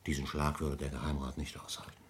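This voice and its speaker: A man playing a grave, formal counsellor in a radio play, speaking in a measured way. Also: very deep voice, little pitch movement, slight background noise. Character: grave, formal counsellor warning of legal danger. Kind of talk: German radio play acting.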